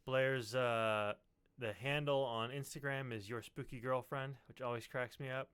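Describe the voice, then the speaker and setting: A man's voice: mid-range voice, steady tone, unhurried, fairly bright tone, clean tone, no echo. A man co-hosting a podcast from his basement, chatting casually in a wry, self-deprecating way. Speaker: podcast co-host. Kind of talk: casual, joking chat. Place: basement at home.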